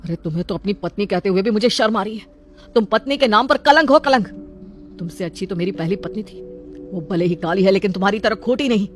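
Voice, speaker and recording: A woman voicing a hot-tempered husband in a moral story, loud, accusing and berating. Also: mid-range voice, a little fast, soft background music, lightly acted. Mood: angry, berating.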